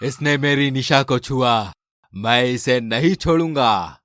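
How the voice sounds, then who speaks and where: A man's voice: medium pitch, expressive, a bit bright, slight background noise. A man voicing a possessive, menacing ghost of a young man in a cartoon horror story. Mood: threatening. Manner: menacing, slow, deliberate.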